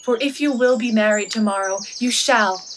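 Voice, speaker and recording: A woman's voice: fairly high pitch, moderate pace, distant mic, slight background noise, natural voice. A young woman acting a witty, playful heroine disguised as a shepherd boy in a Shakespearean radio play, speaking articulately. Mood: earnest, assured. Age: young adult.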